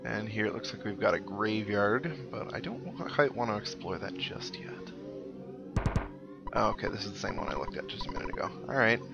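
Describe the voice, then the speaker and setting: A man giving casual, rambling game commentary in a low-key, dryly wry way, sounding a little unwell. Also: wildly swooping pitch, normal speed, vocal fry. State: slightly unwell, out of it. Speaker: gaming host, let's-player. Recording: dull lo-fi mic, game music underneath. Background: video game music.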